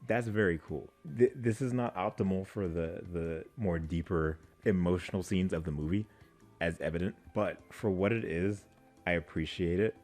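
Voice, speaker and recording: A man's voice: deep voice, moderate pace, a little mellow, faint music behind. A man giving casual, wry commentary as the host of a movie reaction video.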